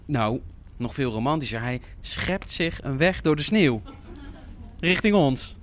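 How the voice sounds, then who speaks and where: An actor playing an easygoing grown son in a naturalistic radio play, talking casually and a little haltingly. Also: male voice, mid-range voice, expressive, a little hiss or hum, natural voice. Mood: amused. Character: easygoing grown son keeping the family peace. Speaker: actor in an audio drama.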